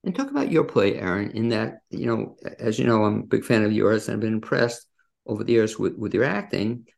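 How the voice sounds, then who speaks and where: Very gravelly-voiced male podcast host. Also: on the low side, sing-song, brisk, a little mellow. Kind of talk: interview hosting on a positive news podcast. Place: remote video call from his own studio.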